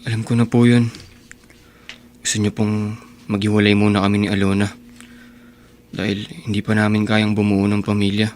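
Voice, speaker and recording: An actor playing an earnest, devoted young boyfriend in a radio drama, speaking sincerely and reassuringly. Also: male voice, on the low side, monotone, normal speed, long pauses, darkish tone, slight background noise, lightly acted. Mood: respectful. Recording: music bed behind voice.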